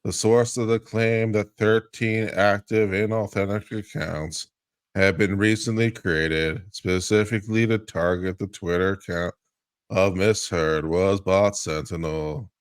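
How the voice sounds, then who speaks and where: A man appearing as a guest commentator, giving a deliberate, theatrical reading of a lawsuit. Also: on the low side, fairly even intonation, smooth, no room sound, clean studio sound. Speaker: guest commentator on a legal show.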